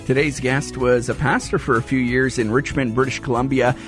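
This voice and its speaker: A man co-hosting a faith program, reading a scripted guest introduction in a measured, announcer-like way. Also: mid-range voice, steady tone, a bit bright, soft background music. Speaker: co-host.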